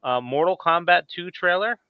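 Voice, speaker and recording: A man's voice: high-pitched, moderate pace, smooth, dry recording, narrow, tinny audio. A man hosting a casual, informal podcast chat about horror movies in a conversational way. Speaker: podcast host.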